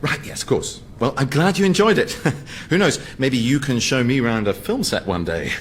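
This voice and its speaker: An actor playing an eager, easily flustered airline captain in a radio sitcom, fussy and stammering. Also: male voice, medium pitch, expressive, moderate pace, lightly acted. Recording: noisy radio sitcom recording.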